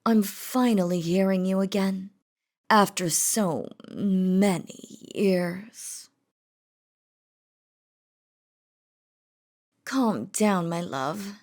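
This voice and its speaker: A woman's voice: mid-range voice, a little mellow. A woman voicing an imperious, possessive, lovestruck demon queen in a scripted roleplay audio drama, with a haughty, theatrical delivery. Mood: tender, teasing. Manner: haughty, theatrical, slow and deliberate.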